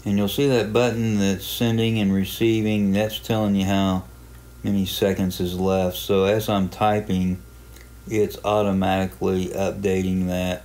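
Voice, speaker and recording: A man giving a casual, unhurried tutorial narration, explaining a hobby software program step by step in plain terms. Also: low voice, level tone. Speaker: amateur radio hobbyist presenting a software walkthrough.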